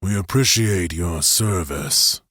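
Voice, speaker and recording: A towering, older armored warrior, played by a male narrator, deep and measured. He speaks slowly, with cool sternness, in a low voice. It is a dry studio recording. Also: a bit bright, breathy edge, dry recording, full character voice. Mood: stern.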